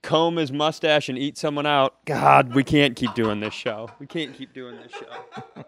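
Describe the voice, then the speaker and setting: A man's voice: medium pitch, fairly even intonation, measured pace, fairly bright tone. A man co-hosting a comedy podcast, riffing in a profane, self-deprecating, casual way. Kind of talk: bantering casual comedy chat.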